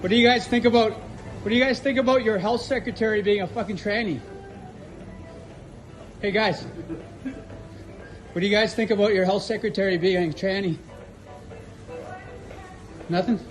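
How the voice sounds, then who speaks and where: High-voiced man heckling, taunting. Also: moderate pace, pauses often, soft background music, rough recording. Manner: taunting, repetitive, crude. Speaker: man filmed in a viral street video. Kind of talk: heckling strangers on camera.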